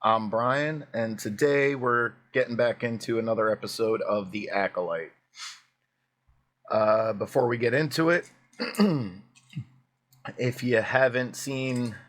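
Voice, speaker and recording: A man hosting a casual video reaction, his voice hoarse and prone to cracking, talking in a chatty, rambling way with plenty of fillers. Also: fairly low pitch. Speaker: YouTube reaction host.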